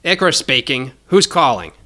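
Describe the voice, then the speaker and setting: A man's voice: medium pitch, big pitch swings, moderate pace, bright, forceful tone. A man voicing a cocky, bloodthirsty young cyborg bounty hunter in an audio story, with a swaggering manner. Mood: brisk, casual.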